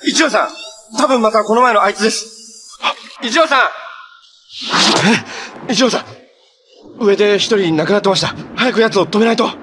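An actor playing a cheerful, earnest young superhero in a live-action TV drama, polite and easygoing. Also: male voice, high-pitched, normal speed, pauses often, a bit bright, a little hiss or hum. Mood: urgent, tense. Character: cheerful, earnest young male hero who fights monsters.

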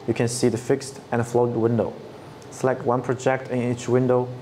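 Flat-toned man explaining software steps. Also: medium pitch, moderate pace, slight background noise. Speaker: product trainer. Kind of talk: scripted tutorial narration. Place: studio set with dark backdrop. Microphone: lapel mic.

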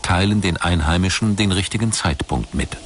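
A man narrating a scripted documentary in a measured, formal way. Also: deep voice, background noise. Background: music behind the voice.

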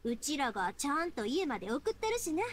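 A kind young woman in an anime game. Her voice is very high, with a Kansai accent. She talks in a friendly, colloquial way, like a caring big sister. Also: very animated, brisk, slight background noise. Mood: reassuring.

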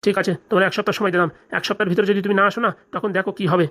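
Woman voicing a grumbling, fast-talking cartoon father. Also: mid-range voice, little pitch movement, a bit bright, close to an everyday voice. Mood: stern, reproachful. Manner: grumbling, blustering. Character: grumbling, worried middle-aged father, blustering but indulgent. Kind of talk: comic cartoon dialogue.

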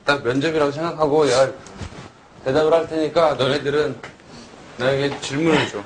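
A young man in his twenties trading deadpan jokes in casual variety-show banter on a studio set. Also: on the high side, a little fast, a little hiss or hum. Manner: joking, deadpan quips. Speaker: idol group member. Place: bright studio living-room set.

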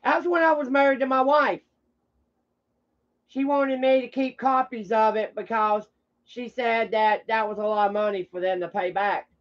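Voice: mid-range voice, moderate pace, lo-fi sound. A streamer talking off the cuff to viewers in a blunt, combative, swearing manner. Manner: blunt, combative, foul-mouthed. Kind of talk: casual rambling livestream talk to viewers.

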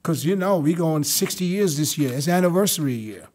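A man's voice: on the high side, lively intonation, fairly quick, darkish tone. Insistent middle-aged man on a video call. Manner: insistent, repeats himself while explaining. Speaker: podcast host. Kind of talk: casual group chat on a video call.

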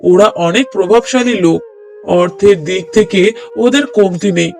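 A man's voice: high-pitched, moderate pace, gravelly. A man playing an obliging village matchmaker in a cartoon drama, talking in a reassuring, persuasive way.

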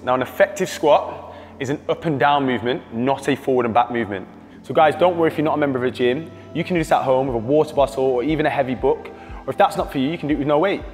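A British man guides a workout with calm, steady coaching cues. His voice carries a long reverb from the hall, with faint music under it. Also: medium pitch. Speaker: fitness coach. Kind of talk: instructional workout voice-over.

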